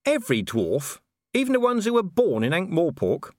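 A man's voice: high voice, wildly swooping pitch, normal speed, darkish tone, no echo, studio quality, pitched above the performer's own voice. Man acting a blunt, sardonic, exasperated commander. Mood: puzzled, exasperated. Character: gruff, blunt, sceptical male commander. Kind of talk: audiobook character dialogue.

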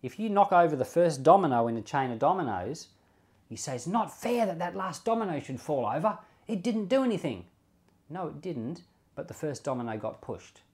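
A man's voice: fairly high pitch, very animated, moderate pace. A man giving informal Bible teaching as a pastor, reasoning aloud with rhetorical questions and repeating key points for emphasis. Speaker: pastor and Bible podcast host.

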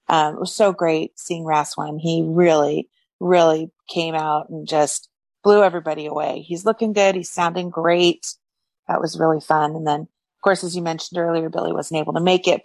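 A woman co-hosts a podcast, her lowish voice carrying a gravelly fry. She speaks in an upbeat, earnest, gushing way. The recording is dry and close. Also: darkish tone, no echo. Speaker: podcast co-host. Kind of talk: casual chat.

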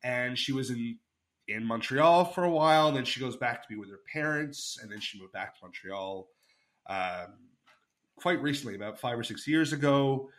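A man's voice: mid-range voice, lively intonation, moderate pace, fairly bright tone. Chatty male podcast host. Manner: chatty, enthusiastic storyteller with many fillers. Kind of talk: casual chat.

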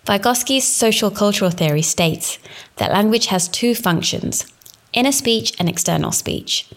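A woman in her thirties from England, giving a scripted, formal narration for a teaching presentation in a measured way. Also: mid-range voice. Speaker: education student presenting.

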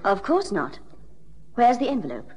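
A woman's voice: medium pitch, wildly swooping pitch, moderate pace, a little mellow, in a large hall, slight background noise. An actress playing a secretive, commanding female client in a 1960s radio drama, speaking formally. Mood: impatient, demanding.

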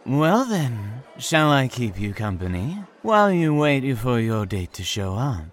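A man's voice: mid-range voice, big pitch swings, measured pace, slight background noise. A man acting in an audio drama as a mysterious, insistent newcomer, with formal, teasing storyteller phrasing. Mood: smooth, insistent. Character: mysterious, courteous, insistent man new in town.

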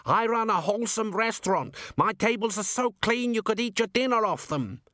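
A man putting on an indignant dwarf, pitched way up. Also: lively intonation, normal speed, fairly bright tone, no echo, clean studio sound. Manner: defensive, protesting. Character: indignant, blustering male dwarf restaurant owner. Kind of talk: audiobook character voice.

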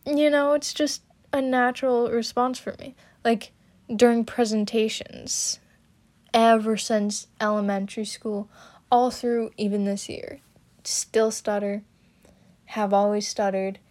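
Slow, halting young woman. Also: fairly high pitch, flat delivery, darkish tone. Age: young adult. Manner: hesitant, halting, frequent fillers and restarts. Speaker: student podcaster. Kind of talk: personal talk about her stutter.

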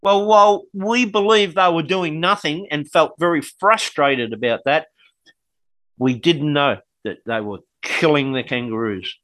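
Bright-voiced Australian man, casual. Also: a little high, a little slow, no echo. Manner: rambling, joking. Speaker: co-host. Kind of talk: casual radio chat.

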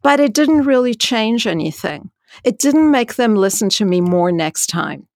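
Frank, loud-voiced woman with gravelly edges. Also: a little high, measured pace, no echo, studio quality. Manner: frank and animated, many "you know"s, rising to emphasis. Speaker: dean and scientist. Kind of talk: candid interview storytelling.

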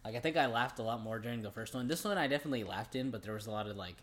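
A man's voice: mid-range voice, racing, fairly bright tone. A young man co-hosting a podcast, giving his take on films in a casual, slangy, laid-back way. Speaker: podcast co-host. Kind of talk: casual film review chat.

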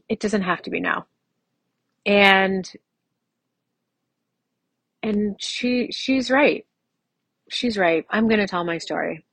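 Mid 40s woman doing a solo podcast, lowish voice, really dry sound, chatty n personal, stresses her points. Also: creaky, a bit bright, dry recording. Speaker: podcast host.

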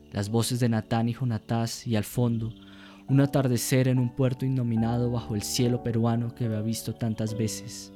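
A man narrates a literary radio drama. His voice is mellow and quite even, solemn and measured in delivery. Music plays softly underneath. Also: medium pitch.

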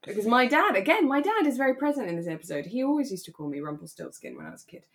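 A woman co-hosting a relaxed, chatty podcast, telling stories in a playful, jokey and animated way. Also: on the high side, racing. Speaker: podcast co-host. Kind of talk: relaxed casual chat about a radio drama.

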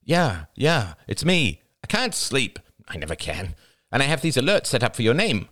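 A man's voice: mid-range voice, wildly swooping pitch, moderate pace, fairly bright tone, pitched above the performer's own voice. A man voicing a blunt, scolding older woman, a veteran of her industry, who snaps at her niece. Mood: impatient. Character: brusque older woman, veteran media aunt.

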